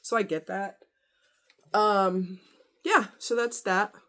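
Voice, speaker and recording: A woman's voice: mid-range voice, steady tone, slow, a bit bright. A woman vlogger chatting casually to her audience in a rambling, conversational way with frequent asides. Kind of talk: casual chat to camera.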